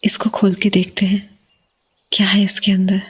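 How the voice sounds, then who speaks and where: A woman playing an uneasy, suspicious young wife in a horror audio drama, hesitant and hushed, lingering on words in a breathy voice. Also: medium pitch, completely flat delivery, moderate pace. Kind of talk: Hindi horror audio drama, acted dialogue.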